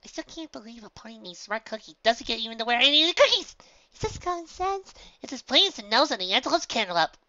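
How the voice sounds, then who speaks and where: A woman's voice: a little high, very animated, fast, bright, forceful tone, no echo, dull audio, pitched above the performer's own voice. A woman voicing a prim, fussy lady pony with an incredulous, particular manner in a cartoon-based story. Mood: exasperated.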